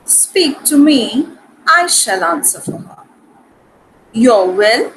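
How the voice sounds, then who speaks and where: Woman playing a haughty noble lady, high and animated. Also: slow pace, a little hiss or hum, full character voice, poor audio. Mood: arch, amused. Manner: haughty, arch, theatrical. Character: proud, sharp-witted noble lady in mourning. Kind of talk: dramatic reading of Shakespearean comedy.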